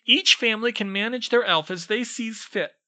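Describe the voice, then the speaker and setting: A man voicing a small, servile male house-elf with a very high squeaky voice and broken grammar, who calls everyone sir. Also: moderate pace, sharp tone. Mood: servile whining.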